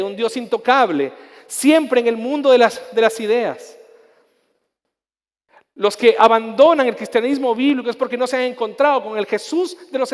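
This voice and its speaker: A male pastor with a Chilean accent, his voice sitting rather high, preaching with fervor and restarting phrases. The sound rings with a long reverb, as in a large church hall. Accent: Chilean Spanish. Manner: impassioned preaching with repetitions and restarts; soft, intimate prayer. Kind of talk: sermon ending in prayer.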